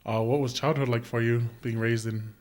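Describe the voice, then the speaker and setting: A man hosting a podcast, asking rambling, halting questions with lots of fillers in a casual chat. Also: mid-range voice, steady tone, darkish tone. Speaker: podcast host.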